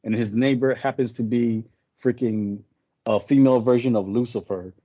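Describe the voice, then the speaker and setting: A man's voice: mid-range voice, steady tone, moderate pace, mellow, no echo, highs cut off. A man who speaks English as a later language, chatting casually as a podcast co-host and retelling a story in a rambling way, often correcting himself. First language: not English.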